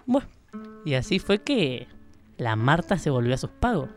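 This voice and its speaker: A woman's voice: low voice, very animated, normal speed, a little mellow. A woman playing a bossy, scatterbrained country storyteller in a live comic radio play, rambling and exclaiming in a folksy Argentine accent. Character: scatterbrained, bossy country woman telling her own tale. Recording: music under a live radio broadcast.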